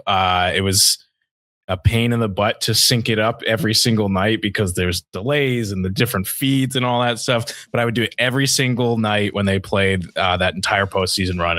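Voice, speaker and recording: An American man co-hosts a casual sports chat in a fairly low voice. His talk is informal and conversational. The recording is dry, without room echo. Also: steady tone, normal speed, a bit bright. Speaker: sports podcast co-host.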